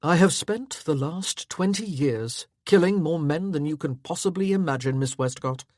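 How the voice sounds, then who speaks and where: Woman doing a gruff war-hardened officer. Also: sits low, animated, moderate pace, darkish tone, no room sound, studio quality. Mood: stern.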